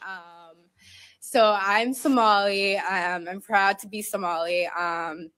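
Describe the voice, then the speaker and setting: A woman speaking in a casual clip, hesitating often and filling pauses with "um" and "uh". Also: medium pitch, fairly even intonation, fairly bright tone, clear, resonant tone. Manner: hesitant, many fillers.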